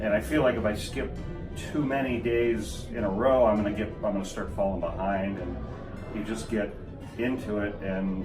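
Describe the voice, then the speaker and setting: Halting, self-mocking man in his fifties. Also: deep voice. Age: 50s. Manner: halting, self-deprecating, restarts often. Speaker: martial arts student. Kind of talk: interview answer. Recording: roomy, distant mic, music underneath.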